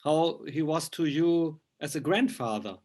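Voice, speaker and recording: A man with a fairly high voice works as an interviewer. He puts his questions informally, in hesitant non-native English with halting phrasing. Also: darkish tone. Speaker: interviewer, magazine journalist.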